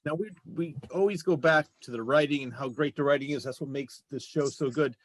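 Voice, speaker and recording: A man's voice: on the high side, a little fast, dry recording. A man hosting a talk show, chatting casually and jokily with his guests. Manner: jokey, chatty. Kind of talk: casual interview chat.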